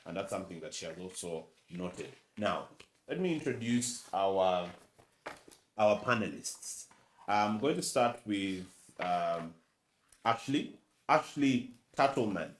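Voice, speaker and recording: A man from Uganda with a Luganda-influenced accent, moderating a press conference and formally introducing panelists with a measured delivery and some fillers. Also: sits low, lively intonation, roomy sound. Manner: measured, reads bios with fillers.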